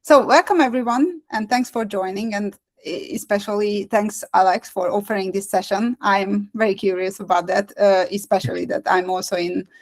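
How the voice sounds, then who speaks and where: A woman's voice: mid-range voice, steady tone, moderate pace, few pauses. A woman hosting an online meetup on a video call, talking in a hesitant way with many restarts and fillers. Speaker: meetup host. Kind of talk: casual chat on a video call.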